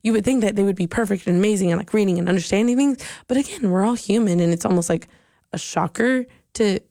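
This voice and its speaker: A young woman hosting a college radio show, chatting casually and rambling upbeat, her voice slightly hoarse and giving out. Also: medium pitch, fast, mellow, no echo, clean studio sound. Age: young adult. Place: radio studio booth. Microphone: studio microphone.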